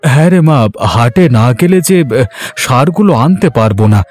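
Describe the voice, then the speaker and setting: Casting: humble cartoon farmer dad, highish voice, gentle and homely, light music bed. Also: male voice, expressive, moderate pace. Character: poor, gentle village farmer and father. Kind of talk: Bengali children's cartoon voice acting.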